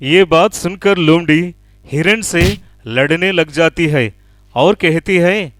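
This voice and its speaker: A man's voice: fairly high pitch, takes it slowly, a bit bright, dry recording. A man narrating a scripted children's animal story.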